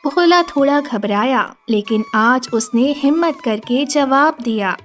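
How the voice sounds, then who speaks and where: A woman's voice: high voice, a little slow, soft background music. A woman narrating a scripted children's story.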